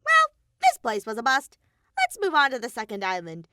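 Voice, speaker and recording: A woman's voice: a little high, huge pitch swings, normal speed, sharp tone, slight background noise, pitched above the performer's own voice, exaggerated voice. A woman voicing a mischievous, callously cheerful bear mascot villain in a theatrical, flippant manner. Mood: gleeful.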